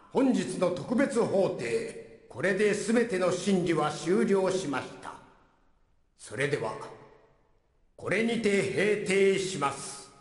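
An actor playing a genial, dignified elderly judge with a ceremonious, polite manner in a staged courtroom skit. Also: male voice, fairly high pitch. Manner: courtly, ceremonious, polite old-man phrasing. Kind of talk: live stage skit acting, formal announcing. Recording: echoey concert hall, long reverb.

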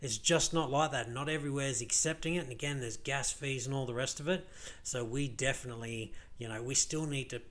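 An Australian man with a croaky, tired voice, rambling casually through a screen-share commentary with many fillers and restarts. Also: medium pitch, fairly bright tone. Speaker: crypto vlogger.

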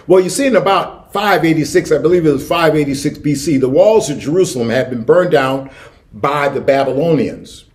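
A man's voice: fairly high pitch, fairly quick. A middle-aged American man preaching a sermon as a pastor, emphatic and given to repeating key phrases. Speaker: senior pastor. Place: church sanctuary, indoors.

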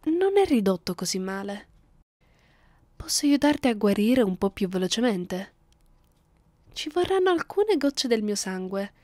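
A woman's voice: medium pitch, very animated, a little slow, long pauses, warm tone, no echo. A woman playing a cruel, seductive vampire mistress in an ASMR roleplay, speaking commandingly and often close to a whisper. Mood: condescending. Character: domineering, cruel, seductive vampire mistress addressing her human captive.

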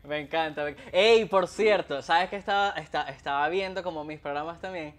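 A man's voice: fairly high pitch, fairly quick, fairly bright tone. A Venezuelan man hosting a casual interview, chatty and playful, wearing headphones. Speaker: host. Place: home room. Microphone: headphones with a mic.

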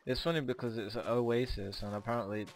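A man with a hoarse, fading voice, chatting casually while playing a co-op game, often hesitant and stumbling over his words. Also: mid-range voice, steady tone, fast talker, a little hiss or hum. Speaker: co-op game player. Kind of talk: casual gameplay chat.

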